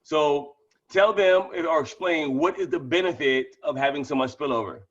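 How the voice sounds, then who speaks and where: Excited guy with a slight lisp, kinda stuttery, fast and a bit high, muffled call audio. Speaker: presenter of a network-marketing training call. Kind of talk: casual pitch on a group call.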